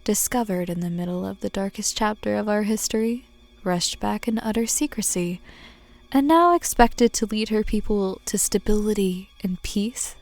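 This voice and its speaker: A woman acting a serious, skeptical delegate in a fan dub, speaking in a measured, frank manner. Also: medium pitch, a little mellow, faint music behind, close to an everyday voice.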